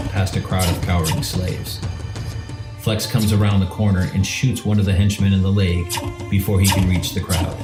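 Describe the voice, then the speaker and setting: A man reading scripted narration for an audio drama in a roomy recording with music behind him. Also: deep voice.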